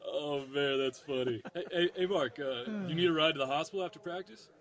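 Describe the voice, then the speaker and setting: An actor playing a pushy, excitable young football teammate in an audio drama. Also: male voice, fairly high pitch, fairly quick, lightly acted. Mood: casual.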